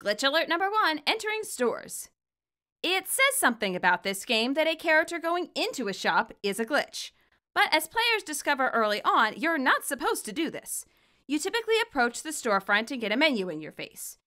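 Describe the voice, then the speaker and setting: Bright, animated woman narrator. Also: sits high, moderate pace. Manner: expressive, wry. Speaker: fan fiction author and narrator. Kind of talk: scripted narration, storytelling.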